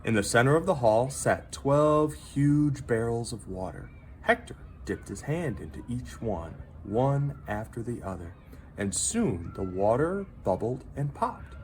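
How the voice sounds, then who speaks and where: Animated, unhurried man reading a children's story. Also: medium pitch, slight background noise. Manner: dramatic storyteller delivery with pauses for effect. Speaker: teacher reading a picture book aloud. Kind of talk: expressive children's story read-aloud.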